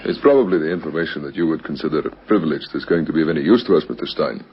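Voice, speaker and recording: A man's voice: rumbling low, rapid-fire, a little hiss or hum. An actor playing a businesslike, probing police captain questioning people in a radio crime drama, a man with a politely formal manner. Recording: dull lo-fi vintage radio recording.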